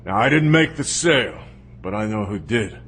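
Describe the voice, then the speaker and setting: An actor playing an edgy, slippery crime boss bargaining under threat in an audiobook drama, gravelly and husky. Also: male voice, fairly low pitch, very animated, normal speed, heavy background noise. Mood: cornered.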